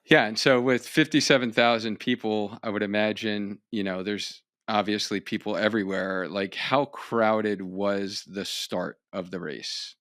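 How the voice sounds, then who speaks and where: A man from Long Island, New York hosting an interview, asking relaxed questions with plenty of fillers. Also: lowish voice, unhurried, few pauses, dry recording, clean studio sound. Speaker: podcast host, physical therapist.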